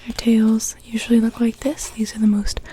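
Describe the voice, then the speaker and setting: A woman voicing a calm, mysterious sea-cove witch in an ASMR roleplay, speaking gently and slowly in a soft breathy whisper. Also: fairly high pitch, slight background noise, close to an everyday voice. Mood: calm, explanatory. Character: calm mysterious cove witch, part mermaid, potion brewer.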